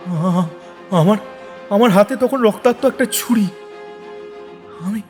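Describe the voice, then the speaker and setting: A male actor plays a distressed accused man in an audio crime drama. His voice is high and sits over music, and he speaks haltingly, repeating words as he nervously pleads his case. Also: a little mellow. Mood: shaken, anguished. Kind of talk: audio story drama acting.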